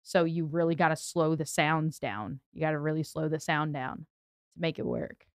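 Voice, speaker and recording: Nasal lady on a podcast, lowish voice, dry close sound, chatty. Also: steady tone, normal speed, clear, resonant tone, dry recording. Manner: chatty, giggly, explains tech simply. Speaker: co-host and audio engineer. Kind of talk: casual studio podcast chat.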